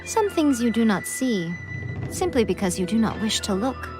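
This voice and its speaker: A woman playing a sly, teasing, poised shrine priestess in an anime video game, speaking in a coy, deliberate way. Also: fairly high pitch, sing-song, over music. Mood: grave, knowing.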